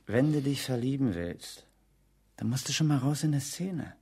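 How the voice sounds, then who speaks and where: A man's voice: mid-range voice, animated, normal speed, dark tone, lightly acted. An actor playing a brash, businesslike male hustler in a radio drama, blunt and colloquial. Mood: cocky, matter-of-fact. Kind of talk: German radio drama acting.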